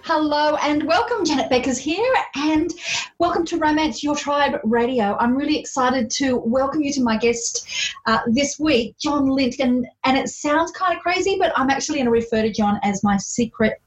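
A woman hosts a podcast in a lively, enthusiastic way, laughing easily between questions. Her voice sits a little high for a woman. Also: moderate pace, lo-fi sound, soft background music. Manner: enthusiastic, chatty, laughs often. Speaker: podcast host. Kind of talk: casual interview chat.

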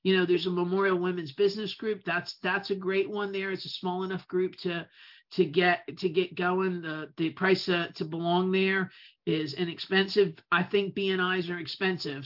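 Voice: medium pitch, little pitch movement, normal speed. Speaker with a native Italian background, emphatic delivery. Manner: drags out key words for emphasis, with restarts. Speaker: web business owner giving a talk. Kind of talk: casual presentation. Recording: dull lo-fi recording.

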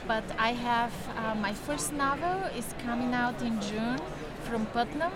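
A woman in her forties with a Bulgarian accent answers interview questions, earnest and unhurried. Her voice sits a little high. A loud crowd chatters all around her. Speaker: interview guest, writer. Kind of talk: casual interview. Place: busy convention hall. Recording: loud crowd noise behind.

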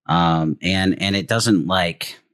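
Deep voiced guy hosting a podcast, lots of um and restarts, dry close sound. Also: takes it slowly, a bit bright, dry recording. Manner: hesitant, many fillers and restarts. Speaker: podcast host. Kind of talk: casual interview chat.

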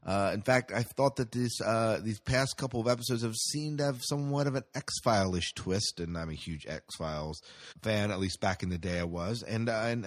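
A man hosting a podcast, giving casual, rambling commentary on entertainment news with his own opinions thrown in. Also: fairly low pitch. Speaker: podcast host.

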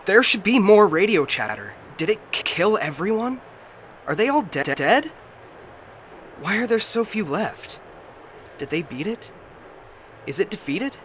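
A man's voice: mid-range voice, moderate pace, sharp tone, a little hiss or hum, lightly acted. A man playing a broken, paranoid ship AI in a science fiction audio drama, stammering and getting stuck repeating words. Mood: anxious. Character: frightened, broken male ship AI, paranoid and unstable.

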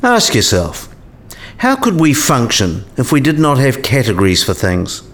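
Man acting animated, eccentric old professor. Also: mid-range voice, a little slow. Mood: musing. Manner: musing, didactic. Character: eccentric, kindly old male philosophy professor. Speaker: professor character in a radio play. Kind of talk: scripted radio play dialogue.

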